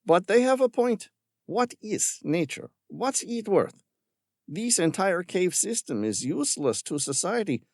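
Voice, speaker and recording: A man's voice: sits high, very animated, measured pace, a little mellow, dry recording, clean studio sound, pitched above the performer's own voice, exaggerated voice. A man putting on a sneering, sarcastic villain. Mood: mocking. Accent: affected Spanish-tinged accent. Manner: sarcastic, patronizing, mocking. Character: sneering, greedy male villain caretaker with gun. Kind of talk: audiobook character voice.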